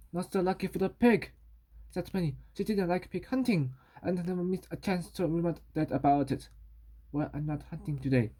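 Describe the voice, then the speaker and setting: A woman reading a children's story aloud in a halting way, repeating and correcting words as she goes. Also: low voice, warm tone.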